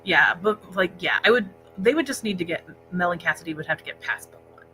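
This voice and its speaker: A woman's voice: fairly low pitch, expressive, fairly quick, pushed and bright, a little hiss or hum, low-quality recording. A woman co-hosting a casual book discussion livestream, talking conversationally indoors. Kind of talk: casual chat about books. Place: indoor library room, speaking into a laptop set-up.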